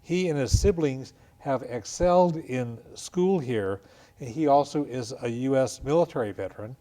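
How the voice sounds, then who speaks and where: Guy hosting an interview show, middle pitch, calm and measured, reads out guest intros. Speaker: radio show host.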